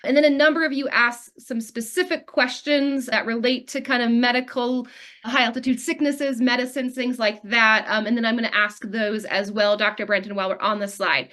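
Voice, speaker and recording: A woman's voice: on the high side, steady tone, moderate pace, fairly bright tone. A woman moderating a webinar over a video call, casual and upbeat, relaying audience questions. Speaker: webinar host.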